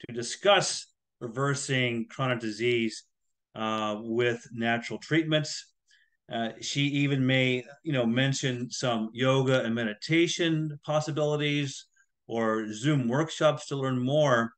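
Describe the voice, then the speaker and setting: A man in his fifties hosting a show and introducing a guest in a relaxed way from a room at home, over a video call. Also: medium pitch. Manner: relaxed, with "uh" and "you know" fillers. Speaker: podcast host. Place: home room with a ceiling fan. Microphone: video call webcam.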